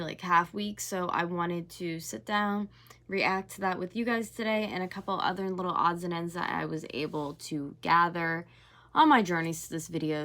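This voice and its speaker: A woman's voice: mid-range voice, a bit bright. A woman in her twenties hosting a casual reaction vlog, chatty and gossipy, full of "like". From North America. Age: 20s. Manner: chatty and gossipy, heavy use of "like". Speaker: YouTube host reacting to clips.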